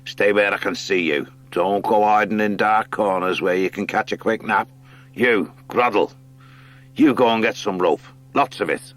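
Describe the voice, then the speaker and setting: A man's voice: on the low side, normal speed, fairly bright tone, close to an everyday voice. A man voicing a cruel male sea rat captain in a storybook, coarse and bullying. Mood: bullying, impatient. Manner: coarse, bossy. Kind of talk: audiobook character acting.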